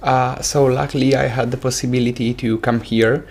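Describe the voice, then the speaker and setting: A man's voice: mid-range voice, fairly even intonation, moderate pace, darkish tone, a little hiss or hum. A seventeen-year-old man with an Italian accent, answering casually in an interview and hesitantly searching for words. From Italy. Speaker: exchange student guest.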